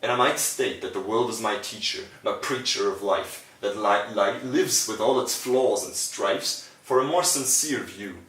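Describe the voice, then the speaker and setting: A man performs spoken-word poetry in a fairly low voice. His phrasing is deliberate and declamatory. He sounds some distance from the microphone, in a roomy, echoing space. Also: fairly bright tone. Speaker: poet, performer. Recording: roomy echo, distant from mic.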